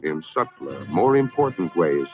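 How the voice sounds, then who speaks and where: An American man narrating a scripted 1960s public information film in a formal, measured, emphatic manner. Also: rumbling low, sing-song. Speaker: documentary narrator. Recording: narrow-band vintage film soundtrack, music behind.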